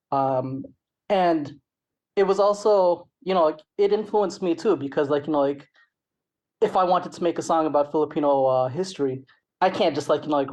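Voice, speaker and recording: A man's voice: a little high, a little mellow. Canadian guy, middle aged, rapper being interviewed, chatty and rambling, says like and you know a lot. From Toronto, Canada. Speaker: interview guest, rapper and songwriter. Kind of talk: casual interview talk.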